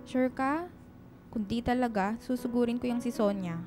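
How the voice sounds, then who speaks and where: A woman's voice: on the high side, normal speed, darkish tone, soft background music, close to an everyday voice. A woman playing a kind, protective lady employer in a radio drama, chatty and concerned. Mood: concerned, indignant. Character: kind, protective middle-class employer, a single mother. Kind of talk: Filipino radio drama acting.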